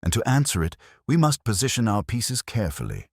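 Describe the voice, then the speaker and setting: A man voicing a ponderous, commanding genius detective in an audiobook, speaking in a deliberate, formal, pompous manner. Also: fairly low pitch, wildly swooping pitch, no room sound, clean studio sound, pitched above the performer's own voice, exaggerated voice. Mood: measured, authoritative.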